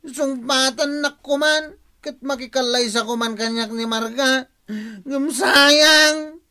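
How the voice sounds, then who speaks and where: A man's voice: very high-pitched, fairly even intonation, slow, sharp tone, smooth, exaggerated voice. A man playing a gullible, plaintive, lovesick young man with an Ilocano accent in a comedy radio drama. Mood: whining, upset.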